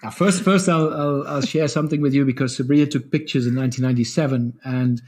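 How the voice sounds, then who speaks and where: A middle-aged man with a deeper voice, a guest telling stories in a casual interview. He talks quickly, restarts his sentences often and laughs between stories. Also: fairly even intonation, a little mellow. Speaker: guest, co-founder of a training program.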